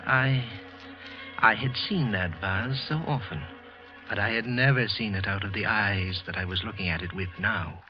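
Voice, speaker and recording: An actor playing a bitter office clerk, narrating a halting confession in 1940s radio style. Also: male voice, on the low side, soft background music, close to an everyday voice. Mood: bitter, brooding. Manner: halting, tense confessional narration with repeated words. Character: bitter, trembling clerk consumed by quiet hatred. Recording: muffled, husky vintage radio recording.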